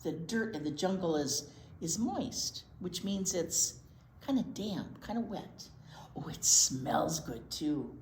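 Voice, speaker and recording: A woman reading a picture book aloud to young children in an expressive way, pausing to explain words simply. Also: lowish voice. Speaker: library storytime presenter, children's teacher. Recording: roomy echo, light background noise.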